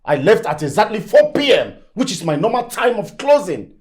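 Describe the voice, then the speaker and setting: A frustrated, stubborn Nigerian boyfriend in a film drama, speaking with indignant emphasis. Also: male voice, sits high, big pitch swings, moderate pace, a little mellow. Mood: irritated.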